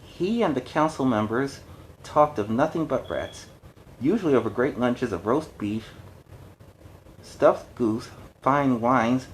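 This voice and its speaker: A man with a medium-pitched voice hosts a story reading. He moves between narration and casual, explanatory asides, with faint noise in the recording. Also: rough recording. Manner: conversational, explanatory. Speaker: storyteller, host.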